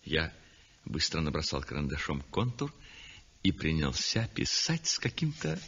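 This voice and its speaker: Smug painter in a 1960s radio play, theatrical, slow and creaky. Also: male voice, extremely low pitch, big pitch swings, a bit bright, heavily acted. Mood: pleased, lyrical. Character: self-satisfied male landscape painter, exuberant and condescending. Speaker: actor narrating in first person. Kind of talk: 1960s radio drama acting. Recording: dull vintage 1960s radio recording.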